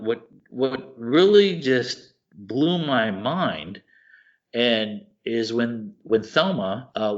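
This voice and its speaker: Guy cohosting a podcast, gets excited, trips over his words a lot, muffled audio. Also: mid-range voice. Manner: excitable, rambling with many restarts. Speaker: podcast co-host. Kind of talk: casual chat.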